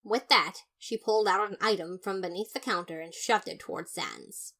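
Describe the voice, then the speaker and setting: A woman narrates a fan fiction audiobook in the first person. Her voice sits at an average pitch for a woman, and her delivery is theatrical and emphatic. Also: normal speed, a bit bright. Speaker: podfic reader.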